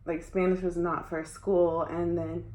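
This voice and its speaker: A woman's voice: lowish voice, little pitch movement, measured pace, darkish tone, slight background noise. A young adult woman talking casually about her school years, often using "like". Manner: conversational, frequent filler "like". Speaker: interviewee. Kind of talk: casual conversation. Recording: echoey room.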